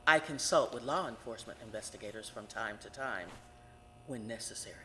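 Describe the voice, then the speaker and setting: An actress playing a grave, self-assured psychic who works with murder investigators in an audio horror drama. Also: female voice, a bass voice, brisk, fairly bright tone. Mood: dry, self-assured. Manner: grave, insistent, dry retorts. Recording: very reverberant, with noise behind.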